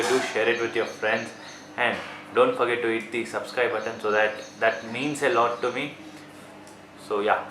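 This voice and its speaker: A man with an Indian accent giving casual, informal unboxing commentary to viewers, often repeating himself and calling them guys. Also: mid-range voice. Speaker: vlogger reviewing gadgets. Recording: echoey room with faint background noise.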